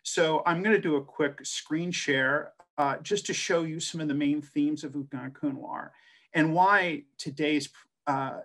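A man's voice: fairly high pitch, a bit bright. A middle-aged man from the American West speaks into a webcam on a video call. He talks in an earnest, storytelling way, with many uh fillers and restarts. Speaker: translator and scholar giving a talk. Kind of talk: personal presentation on a video call.

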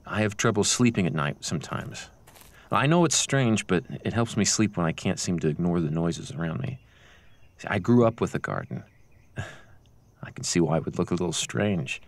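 A man playing an overly polite, creepy neighbor who speaks with halting hesitation in an audio drama. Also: deep voice, expressive, no room sound.

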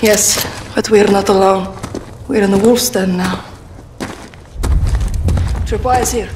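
Actress as a resolute young rebel commander. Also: female voice, mid-range voice, fairly even intonation, takes it slowly, background noise, close to an everyday voice. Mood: tense, hushed. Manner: terse, earnest. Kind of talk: cinematic military video game acting. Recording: music behind.

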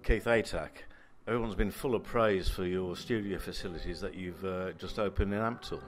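A man's voice: deep voice, moderate pace. A man interviewing guests, introducing each one formally and asking polite questions. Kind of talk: local interview.

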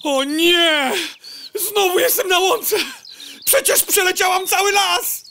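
A woman's voice: extremely high pitch, slow pace, bright, forceful tone, a little hiss or hum, exaggerated voice. A woman playing a nervous, stubborn young female fly who talks to herself in a comic audio drama. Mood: exasperated.

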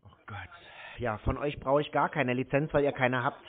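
A man's voice: medium pitch, moderate pace. A man arguing on a phone call in a blunt, mocking, exasperated manner. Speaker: scambaiter taking a cold call. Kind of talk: confrontational phone argument. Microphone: telephone call. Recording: tinny narrow-band phone line.

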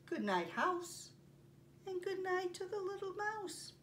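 An older woman presenting story time for young children, speaking in simple, deliberate phrases with pauses as she reads and demonstrates. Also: very high-pitched, sing-song, fairly bright tone. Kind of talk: read-aloud and craft demonstration for young children. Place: carpeted home living room. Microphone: camera a few feet away. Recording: distant mic, faint room noise.

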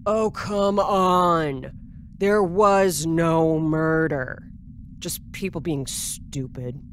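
A woman's voice: deep voice, drawn-out words, a little hiss or hum. A woman playing a blunt, hard-partying security officer in an audio drama, with a casual, unimpressed drawl.

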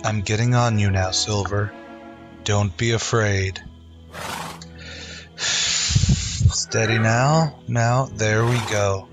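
A man's voice: lowish voice, steady tone, drawn-out words, long pauses, music in the background. A man playing a heroic, resolute masked cowboy in an old-time radio western, earnest and dramatic. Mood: gentle, resolute.

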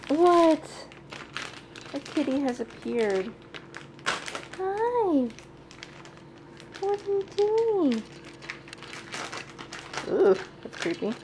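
A woman's voice: very high voice, sing-song, very slow, pauses often, noisy background. A woman in her thirties chatting casually and playfully while cooking and cleaning in her home kitchen, recorded on a camera mic. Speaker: vlogger. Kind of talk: casual vlog chat.

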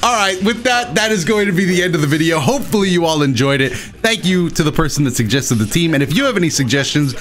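A man giving casual, excitable commentary while he plays a video game, with playful dramatic flourishes. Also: on the high side, quick pace, few pauses, slight background noise. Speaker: gaming video host and player. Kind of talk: casual live gameplay commentary.

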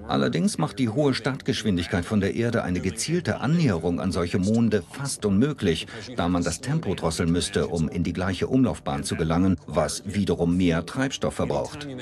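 A man's voice: sits low, lively intonation, normal speed, few pauses, crowd noise. A man playing a matter-of-fact scientist, explaining things in a documentary voice-over. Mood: matter-of-fact, explanatory.

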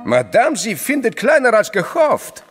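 High, animated, fawning Russian go-between. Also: male voice, measured pace, exaggerated voice. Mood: effusive. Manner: broken grammar, drops articles, emphatic. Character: eager Russian go-between for a ballerina, fawning and blunt. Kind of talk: audio drama acting. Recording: long hall reverb, music underneath. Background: music.

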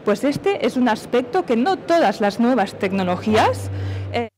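A woman's voice: a little high, big pitch swings, unhurried, a little mellow. A middle-aged woman presenting technical material at a conference, explaining step by step and posing questions to answer. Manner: explanatory, with self-posed questions. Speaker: conference speaker from industry. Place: on stage at a conference, filmed from a distance.

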